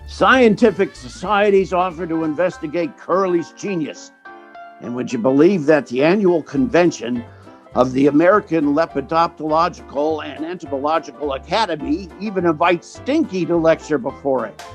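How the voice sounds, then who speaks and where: A man's voice: fairly high pitch, moderate pace, soft background music, close to an everyday voice. A man playing an eager theatrical agent who narrates like a showman in an old time radio drama. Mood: proud.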